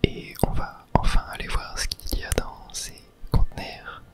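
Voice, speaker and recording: Male gaming host, soothing unhurried ASMR delivery, whispered throughout. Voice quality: breathy unvoiced whisper. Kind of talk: whispered ASMR gameplay commentary.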